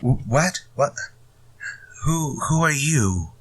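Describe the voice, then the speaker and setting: An actor playing an exasperated, fretful mystery writer in a retro radio drama. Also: male voice, on the high side, expressive, slow pace, husky, heavily acted, poor audio. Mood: alarmed, indignant. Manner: fretful, muttering to himself when stuck. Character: exasperated male mystery writer stuck on his story.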